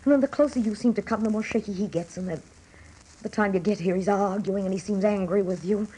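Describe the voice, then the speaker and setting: An actress playing a weary, fiercely loyal older wife and mother in a radio drama, pleading emphatically in a husky voice. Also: medium pitch, fast, mellow, a little hiss or hum, close to an everyday voice, poor audio. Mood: reproachful. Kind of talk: dramatic radio play acting.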